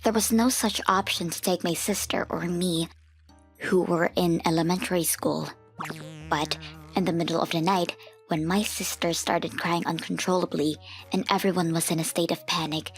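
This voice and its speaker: A woman's voice: on the low side, fairly even intonation, moderate pace, slightly rough, soft background music. A woman reading a scripted first-person story as the narrator of a manga dub.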